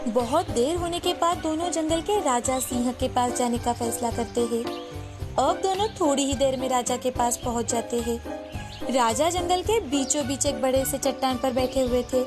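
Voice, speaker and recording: High-pitched woman storyteller over music. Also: normal speed. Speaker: narrator. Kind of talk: scripted storytelling narration of children's moral tales.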